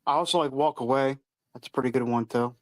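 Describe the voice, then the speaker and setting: A man's voice: medium pitch, fast, no room sound. A man chatting as a guest on a live show, easygoing and a bit hesitant. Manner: easygoing, hesitant, with fillers and restarts. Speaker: guest caller. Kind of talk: casual chat.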